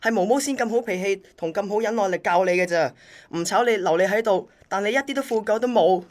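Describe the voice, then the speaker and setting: A woman's voice: mid-range voice, fast, a little mellow, close to an everyday voice. A woman with a Hong Kong Cantonese accent playing an obsessively devoted young male courier in a radio drama. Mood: bitter, reproachful.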